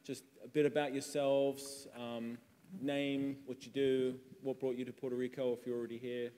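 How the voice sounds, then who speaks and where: A man's voice: mid-range voice, little pitch movement, moderate pace, mellow. An English man chatting casually as he leads a panel discussion. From England. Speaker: panel moderator and company CEO. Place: large conference hall. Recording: reverberant hall with faint ambience.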